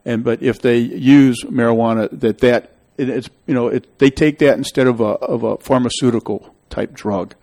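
Middle-aged man, local meeting host, emphatic opinionated rambler, average pitch. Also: fairly even intonation, normal speed, few pauses, a little mellow. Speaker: local official hosting a public meeting show. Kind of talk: town meeting discussion, opinionated.

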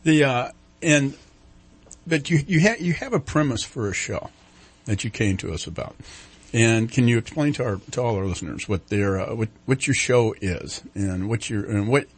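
A husky male voice from a radio co-host. He asks his questions in long, stumbling runs, restarting and circling before he reaches the point. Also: medium pitch, expressive, normal speed. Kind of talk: radio talk show.

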